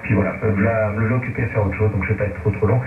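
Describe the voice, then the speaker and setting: A man's voice: lowish voice, steady tone, quick pace, echoey room, recorded from a distance, narrow, tinny audio, noisy background. A man who is an amateur radio operator, chatting casually and giving signal reports over shortwave.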